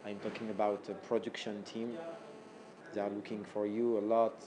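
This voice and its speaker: A man from France, a native French speaker, answering interview questions in halting English with many fillers and restarts. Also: lowish voice, little pitch movement, a crowd in the background. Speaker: fighter being interviewed. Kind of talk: interview answers in second language.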